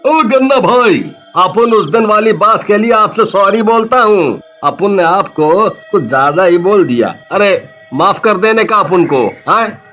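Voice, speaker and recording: A man's voice: high voice, expressive, unhurried, pushed and bright, soft background music, heavily acted. A man voicing a brash, bossy street tough in a cartoon, teasing in slangy talk. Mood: cajoling. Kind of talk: Hindi cartoon voice acting.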